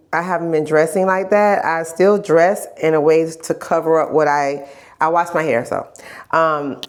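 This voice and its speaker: A woman vlogger chatting casually in a candid, rambling video diary, stuffy from a lingering cold and repeating phrases for emphasis. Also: low-pitched. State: stuffy, getting over a cold. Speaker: vlogger sharing health journey.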